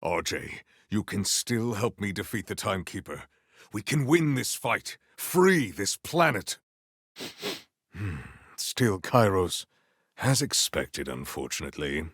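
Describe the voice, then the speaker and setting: An actor playing a noble, stern warrior in a video game, speaking with formal, grandiose deadpan deliberation. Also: male voice, lowish voice, slow pace, breathy edge, no echo, clean studio sound, full character voice. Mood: solemn. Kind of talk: theatrical video game character acting.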